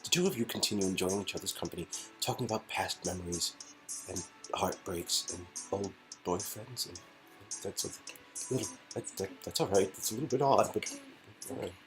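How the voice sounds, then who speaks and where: A man's voice: low-pitched, moderate pace, over music, rough recording. A man reading out an interactive story game as narrator, in a casual second-person storytelling style. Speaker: reader and narrator of game dialogue.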